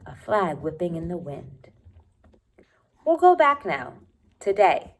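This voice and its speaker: A woman teacher with a low voice reads a children's story aloud at an unhurried pace. She is expressive and stops to add thoughts for her students. There is some faint noise in the recording. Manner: expressive reading, pausing to comment and think aloud.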